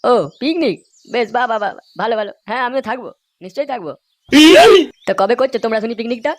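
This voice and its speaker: A man's voice: very high-pitched, fast, no echo. A man voicing an irritable middle-aged neighbourhood uncle in a cartoon, blustering and swearing. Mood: pleased. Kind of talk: crude comedy cartoon dub.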